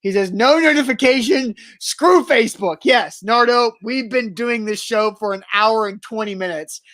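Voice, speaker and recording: A man's voice: very high-pitched, normal speed, fairly bright tone, no room sound. A man co-hosting a sports talk show, matter-of-fact and teasing, laying out his points with pedantic precision. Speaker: sports radio co-host. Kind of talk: casual sports talk banter.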